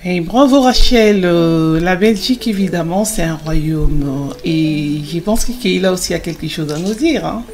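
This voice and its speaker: A woman acting as a kind cartoon mother leads a children's Bible lesson in a fairly low voice. She explains things patiently and encouragingly, hesitating now and then, over faint music. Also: unhurried, few pauses, darkish tone. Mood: encouraging, explanatory. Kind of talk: children's Bible lesson in amateur French animated film.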